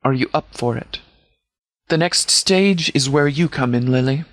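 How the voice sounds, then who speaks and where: An actor playing a stern but caring military commander in a video game, speaking in a measured, formal, authoritative way. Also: male voice, medium pitch, no room sound, lightly acted. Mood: businesslike. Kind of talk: scripted game dialogue.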